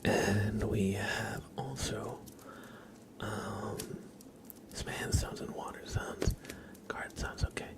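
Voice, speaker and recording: A man speaking in a soft, breathy whisper, chatting casually and unhurriedly as an ASMR livestream host. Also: on the low side, steady tone, takes it slowly.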